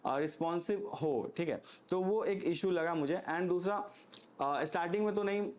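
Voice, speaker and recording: Casting: Indian-accented man, slightly high voice, casual gear reviewer, explanatory and unscripted. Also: normal speed. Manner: explanatory, frequently checks in with "okay".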